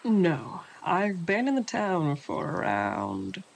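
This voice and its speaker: Creaky, animated, formal escort, acted. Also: mid-range voice, exaggerated voice. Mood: formal, brisk. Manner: formal, measured, courteous. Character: formal, polite newcomer escort eager to get moving. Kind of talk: audio drama acting.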